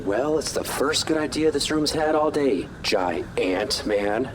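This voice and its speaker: A man plays a cocky superhero in armor for an audio drama. His mid-pitched voice is impatient and dismissive, full of sarcasm, with slight noise behind it. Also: lively intonation, slow pace. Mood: sarcastic, exasperated. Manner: snarky, dismissive.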